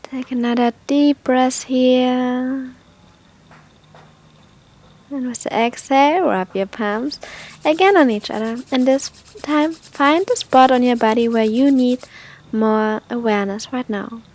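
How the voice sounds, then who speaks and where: A woman guiding a meditation in a soft, breathy, unhurried manner, with gentle instructions and long pauses. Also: high-pitched. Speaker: meditation guide.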